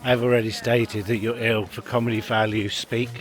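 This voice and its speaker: A man's voice: medium pitch, flat delivery, normal speed. A man chatting casually to camera in a family vlog, rambling and chuckling between remarks. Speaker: family vlogger. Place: outdoors in a car park, then at a leisure pool. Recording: handheld vlog with loud background noise.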